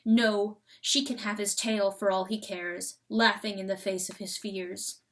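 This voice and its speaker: A woman's voice: medium pitch, little pitch movement, measured pace, fairly bright tone. A woman giving a theatrical, emphatic dramatic reading of a story as an audiobook narrator. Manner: theatrical, emphatic, rhetorical questions.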